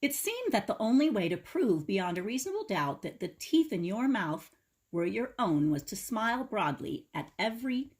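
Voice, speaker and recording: A woman reads a children's picture book aloud with dramatic expression. Her voice is husky and swings widely in pitch, and she slips into explanatory asides for young listeners. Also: sing-song, moderate pace, a little mellow. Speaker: teacher reading aloud to children.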